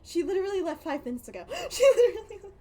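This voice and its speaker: A woman's voice: very high voice, very animated, quick pace, mellow. A woman game streamer chatting casually to her live audience in a playful, rambling way, repeating phrases. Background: game music.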